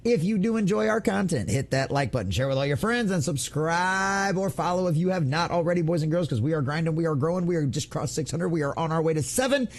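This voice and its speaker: A man's voice: a little high, expressive, fast, hardly any pauses. A man hosting an online gaming news show, giving opinionated commentary in an emphatic, theatrical, mocking way.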